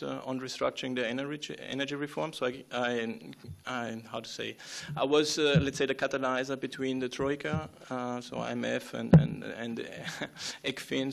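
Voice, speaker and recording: A man giving a conference talk, halting with many fillers and restarts and often clearing his throat. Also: mid-range voice. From Austria. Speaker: conference speaker.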